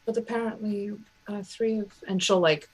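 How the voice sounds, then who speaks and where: A woman narrating her character's actions in a casual, halting way during a tabletop roleplaying game. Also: medium pitch, fairly even intonation, slightly rough, rough recording.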